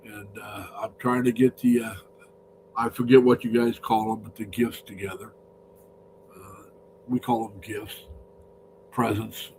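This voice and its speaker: A man hosting a casual live stream, his voice deepened by a cold, rambling and greeting viewers by name. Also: gravelly, darkish tone, slight background noise, low-quality recording.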